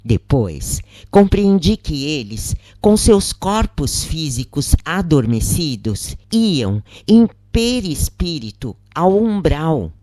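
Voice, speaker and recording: Story narrator, mid pitch, very lively intonation, measured pace, dry close sound. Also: dry recording. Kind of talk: scripted first-person story narration.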